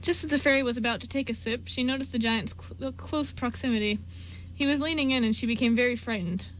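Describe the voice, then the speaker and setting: A woman's voice: a little high, fast, a bit bright, a little hiss or hum, rough recording. A woman reading scripted story narration for a radio play.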